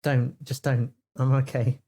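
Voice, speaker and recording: A hesitant young magic student voiced by a woman in a tabletop game. The voice is deep and soft-edged, with a flustered, literal manner. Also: little pitch movement, measured pace, breathy edge, exaggerated voice. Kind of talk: improvised tabletop roleplay in character.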